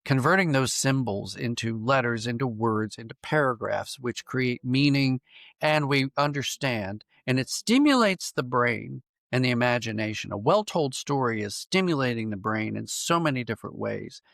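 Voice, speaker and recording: American guy, middle-aged or older, gravelly voice, thinking out loud in an interview, keeps restarting, dry close sound. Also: mid-range voice, lively intonation, no room sound. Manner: thoughtful, halting with repeated false starts. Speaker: podcast guest, writer and dramatist. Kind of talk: conversational interview, explaining ideas.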